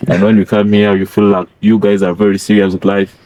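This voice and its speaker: A young man from Congo, a French speaker, explaining things in a relaxed, chuckling way. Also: on the low side, brisk. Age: young adult. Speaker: international student.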